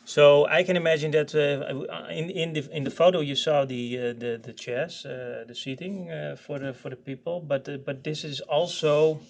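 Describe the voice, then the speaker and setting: A man explaining technical settings in an informal training session, haltingly, often repeating words as he searches for phrasing. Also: on the high side, fairly even intonation, vocal fry. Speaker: product expert explaining software.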